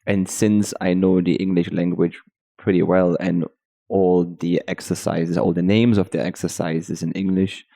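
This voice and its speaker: A man with a German accent who speaks German natively, talking as a guest Pilates teacher in a halting way with restarts. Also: low voice, level tone, gravelly, mellow. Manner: halting, restarts while searching for words. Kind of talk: casual podcast conversation.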